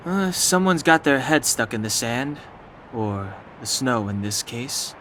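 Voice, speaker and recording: A man playing a cautious, level-headed young warrior in a video game, calm and dry. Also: medium pitch, measured pace, slight background noise.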